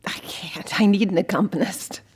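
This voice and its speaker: An actress playing a shy, earnest, devout singer in an intimate audio drama, speaking in a hesitant, soft-spoken, sincere way. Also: female voice, mid-range voice, moderate pace, breathy edge, in a whisper. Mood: earnest, unsure. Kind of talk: intimate two-person audio drama acting.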